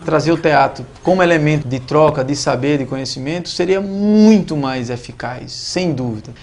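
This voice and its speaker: Homem de voz rouca e arrastada. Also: male voice, fairly high pitch, normal speed, a little mellow, a little hiss or hum. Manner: loose, trails off and restarts. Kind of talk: casual interview.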